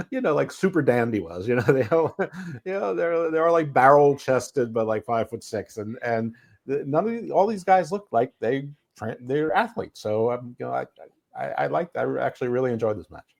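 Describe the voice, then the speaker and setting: A man's voice: medium pitch, animated, moderate pace, creaky. A man co-hosting a podcast, reviewing wrestling in casual chat, rambling with restarts and chuckles. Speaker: podcast co-host.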